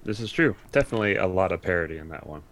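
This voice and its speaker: A man's voice: low-pitched, lively intonation, rapid-fire, a bit bright. A man chatting casually as a podcast guest, in a laid-back conversational way. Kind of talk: casual chat.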